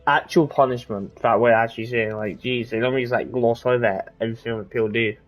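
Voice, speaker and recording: A man's voice: medium pitch, fairly even intonation, brisk, soft background music, rough recording. A man giving casual, rambling commentary as he plays through a story-driven video game, with informal asides. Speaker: gamer reacting to a game story.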